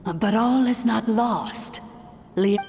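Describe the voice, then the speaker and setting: Imperious, slow-speaking villainous machine queen. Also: fairly high pitch, big-room sound, noisy background. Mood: vengeful, frantic. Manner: imperious, scornful, archaic grand speech. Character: vengeful, contemptuous female ancient machine sovereign with claws. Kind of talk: dramatic video game voice acting.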